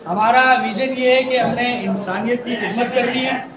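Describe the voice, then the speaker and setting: A man's voice: extremely high pitch, fairly even intonation, normal speed. A young man volunteering at a charity market, explaining earnestly and repeating his main points. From Pakistan. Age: young adult. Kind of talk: casual explanation. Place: large indoor hall with stalls. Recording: echoey hall, crowd noise.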